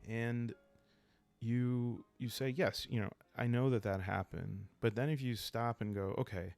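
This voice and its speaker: Soft spoken guy w deep crackly voice, chill asmr game explainer, super dry close sound, takes his time. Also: vocal fry. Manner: calm, unhurried. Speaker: host of a solo roleplaying playthrough.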